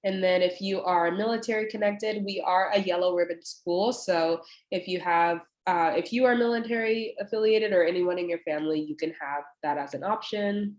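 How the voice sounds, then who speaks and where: A woman's voice: on the low side, moderate pace, hardly any pauses, dull audio. Stuffy-nosed young woman, echoey room. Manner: casual and friendly, many fillers. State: stuffy, congested. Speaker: admissions representative. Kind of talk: walking through an online application on a screen share. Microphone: computer mic on a video call.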